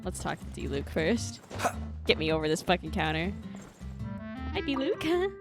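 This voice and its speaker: A woman's voice: fairly low pitch, sing-song, normal speed. A young woman live streaming a game with casual, slangy, sweary commentary, often repeating her phrases, with game music behind her. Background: video game music.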